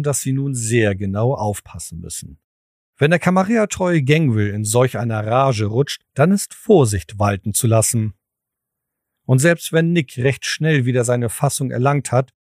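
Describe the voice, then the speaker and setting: A man narrating an audio drama in a formal, deliberate, literary storytelling manner. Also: mid-range voice, a little mellow, no echo, studio quality.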